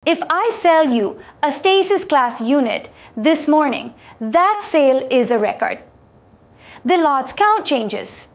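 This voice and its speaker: Woman playing a very slow, high-voiced alien trader. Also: lively intonation, heavily acted. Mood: careful, deliberate. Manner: slow, formal, careful phrasing. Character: calm, exacting alien Sool trader, measured and deliberate. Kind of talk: science fiction audio story acting.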